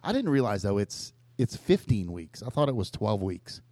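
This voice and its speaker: Dry-witted man with a lowish voice. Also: big pitch swings, moderate pace, dark tone, dry recording. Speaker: podcast co-host. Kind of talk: casual podcast banter.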